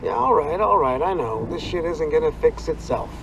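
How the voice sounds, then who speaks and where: A man's voice: fairly high pitch, lively intonation, normal speed, creaky, over music, poor audio. A man acting as an easygoing companion in a survival horror game, with a casual, wry delivery. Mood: resigned, caring. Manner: casual, wry, laid-back.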